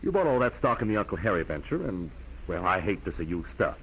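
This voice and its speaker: An actor playing a pompous, brusque, money-minded older brother in a 1940s American radio drama. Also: male voice, low-pitched, sing-song, quick pace, fairly bright tone, husky, full character voice, low-quality recording. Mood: brusque, smooth-talking.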